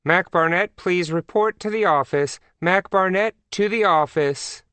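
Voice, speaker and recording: A man's voice: high voice, a little slow, sharp tone, clear, resonant tone, dry recording, pitched above the performer's own voice. A man voicing a friendly, gossipy female school secretary with a singsong, cooing manner in a storybook. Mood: official.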